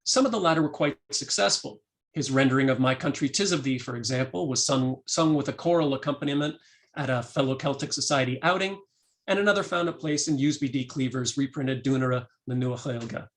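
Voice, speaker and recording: Guy giving a prepared academic lecture, steady measured read, medium pitch. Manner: measured, reads quotations aloud. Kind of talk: reading a prepared talk.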